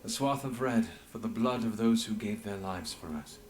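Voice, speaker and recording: An actor playing an earnest, loyal and idealistic leader in a fantasy video game, speaking solemnly. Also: male voice, fairly low pitch, normal speed, darkish tone, slight background noise, natural voice. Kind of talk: dramatic fantasy game voice acting.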